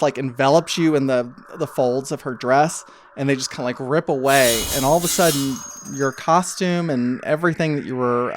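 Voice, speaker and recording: A man improvising tabletop game narration as game master, telling the story in a halting, conversational way. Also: medium pitch, a little hiss or hum.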